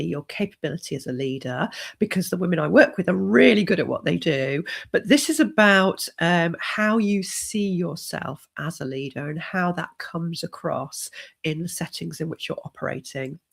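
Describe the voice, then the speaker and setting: Woman coach on a live stream, has a cold, lowish gravelly voice, easy encouraging talk.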